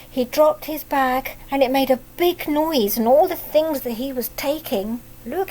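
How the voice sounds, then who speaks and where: A woman's voice: sits high, poor audio. A British woman reading a picture book aloud like a primary teacher, with simple child-directed asides and little tag questions. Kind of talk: children's storytelling with explanatory asides.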